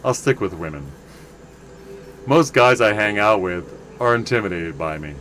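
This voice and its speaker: A man casually commenting on his game for viewers, rambling and correcting himself as he goes, with a loud voice. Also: lowish voice, animated, moderate pace, soft background music. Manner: rambling, self-correcting, chatty asides to viewers. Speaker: livestream game player.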